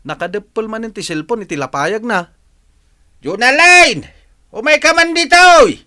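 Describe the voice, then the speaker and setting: A man's voice: high voice, lively intonation, moderate pace, bright, forceful tone, full character voice. An actor playing an exasperated, scolding man of a rural household in an Ilocano radio drama, grumbling and exclaiming.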